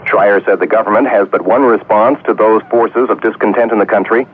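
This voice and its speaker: A man's voice: on the low side, steady tone, a little fast, slight background noise. A man delivering a scripted news report as a radio correspondent. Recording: tinny phone-line report.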